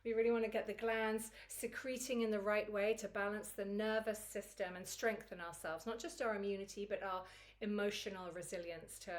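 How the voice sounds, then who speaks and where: A woman with a croaky, recovering voice teaching a Kundalini yoga routine in a chatty, encouraging way. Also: medium pitch, fairly even intonation, a little fast. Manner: chatty and encouraging, laughs easily. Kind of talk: guided yoga class instruction.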